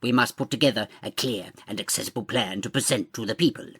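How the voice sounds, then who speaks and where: An actor playing a pompous, irritable and scheming court lord in a comedy audio drama, with grandiose, haughty diction. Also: male voice, fairly low pitch, quick pace, sharp tone, husky, full character voice. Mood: haughty sarcasm.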